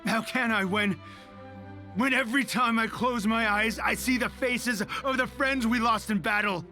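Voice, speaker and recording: A bitter rebel soldier speaks with grim, defiant intensity. His voice sits fairly high for a man, with faint music in the background. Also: normal speed, bright, forceful tone, full character voice. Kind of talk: video game voice acting.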